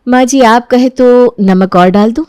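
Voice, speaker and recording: A woman playing a young daughter-in-law in a story, firm and measured, polite but standing her ground. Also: fairly high pitch, darkish tone, clean tone, no echo, clean studio sound, voice pushed up, lightly acted. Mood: calm, polite.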